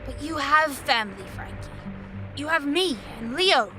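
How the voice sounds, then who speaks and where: A high, swooping boy's voice acted by a woman, a protective, stubborn orphan lad in an audio drama. The delivery is dramatic and expressive, with music clearly behind it. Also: normal speed. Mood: earnest, protective. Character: young orphan boy, protective, stubborn, suspicious older brother.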